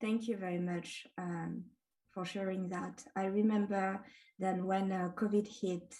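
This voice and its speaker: A woman from France with a French accent, moderating a panel and speaking in a measured, halting way. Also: medium pitch, level tone, dark tone. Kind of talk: reflective panel talk. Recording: voice distant from the mic.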